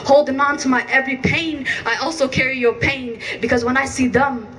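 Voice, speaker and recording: A woman's voice: high-pitched, fairly even intonation, a little fast, fairly bright tone. A young woman from Iraq performing spoken word poetry at an outdoor rally with intense, rhythmic emphasis, heard through a PA from a distance. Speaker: poet. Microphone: handheld mic through PA, filmed from a distance. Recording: distant outdoor PA with faint noise.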